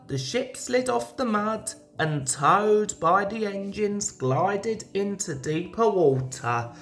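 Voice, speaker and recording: A woman reads a children's story aloud. Her pitch moves in a lively, expressive way while the pace stays slow. Soft music sits behind her narration. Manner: expressive read-aloud delivery. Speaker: storybook reader.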